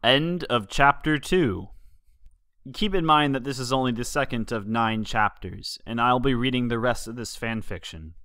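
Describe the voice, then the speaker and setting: A man's voice: medium pitch, normal speed, bright, forceful tone, no echo, clean studio sound. A man reading a story aloud as a narrator, in scripted audiobook style. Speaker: fan fiction reader, narrator.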